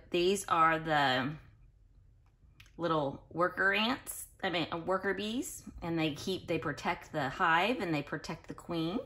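A woman reading a children's picture book aloud in an expressive, sing-song way, speaking directly to young listeners. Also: fairly low pitch. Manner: pauses to explain and question listeners directly. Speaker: children's read-aloud host.

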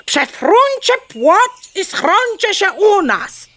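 Bright, high-voiced, stammering curious hen. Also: female voice, big pitch swings, slow pace, a little hiss or hum, heavily acted. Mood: puzzled. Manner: stammering clucks, exclaiming. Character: curious, naive, plucky neighbour hen. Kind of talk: children's audio play acting.

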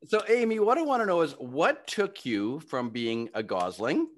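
A man's voice: on the high side, big pitch swings, normal speed. Eager man hosting a video interview. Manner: enthusiastic, encouraging questions. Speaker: podcast host. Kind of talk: casual interview talk. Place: home studio with brick wall. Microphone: studio mic on video call.

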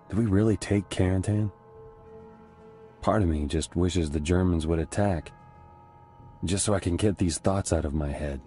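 A man playing a weary, haunted American squad leader, narrating a war video game in a brooding, measured, introspective way. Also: sits low, mellow. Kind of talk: somber scripted game narration. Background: music.

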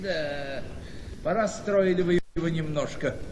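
An actor playing a shrewd, folksy gentleman in a radio play, chatty and sly, often repeating his phrases. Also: on the high side, unhurried, natural voice. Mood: brisk, businesslike. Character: shrewd, folksy older male relative buying an estate. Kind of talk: theatrical radio play acting. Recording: noisy radio play recording, long reverb.

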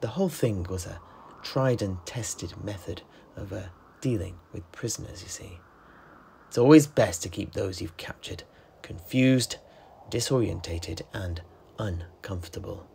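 A man playing a worldly tavern keeper, recounting adventures in a husky, breathy voice with measured dramatic pauses and conversational asides. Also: fairly low pitch, warm tone, a little hiss or hum. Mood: grave, wry. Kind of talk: in-character fantasy storytelling.

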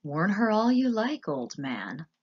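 A woman's voice: deep voice, lively intonation, husky, lo-fi sound, exaggerated voice. A woman voicing a scheming, vengeful male rat in a storybook, theatrical and sly. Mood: gloating, hushed. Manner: hushed, drawn-out, theatrical.

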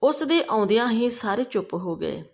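A woman's voice: on the high side, lively intonation, moderate pace, gravelly. A woman reading a scripted family moral story as a storyteller.

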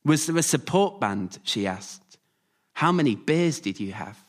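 A man performing a scripted autobiographical story live, delivered in measured short phrases. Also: mid-range voice, lively intonation, faint music behind. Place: radio theatre stage, before a live audience.

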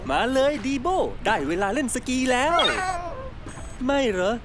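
A woman's voice: high-pitched, lively intonation, measured pace, very noisy. A woman voicing a cheerful, curious young male cartoon explorer in a dub, polite and upbeat. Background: cartoon music.

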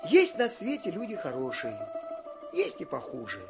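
A man narrating a folk fairy tale in an expressive, singsong storytelling manner. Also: fairly high pitch, normal speed, over music.

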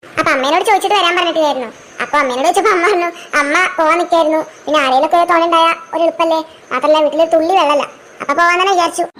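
A performer voices a boastful cartoon village girl with an extremely high voice. She rattles off instructions, sounding like a know-it-all elder sister. A faint noise sits behind the recording. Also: racing, fairly bright tone. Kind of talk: amateur cartoon dubbing.

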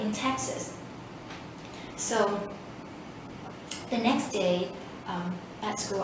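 A woman in her fifties, from Vietnam and a native Vietnamese speaker, telling her story in an oral history interview, hesitant and reflective with many pauses. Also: medium pitch. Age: 50s. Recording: echoey room, distant mic, background noise.

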